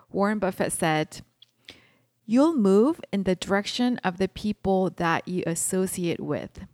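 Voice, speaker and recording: A woman's voice: mid-range voice, darkish tone. A woman podcast host speaks in a mid-range voice with a dry sound free of room echo. She delivers introductions and interview questions.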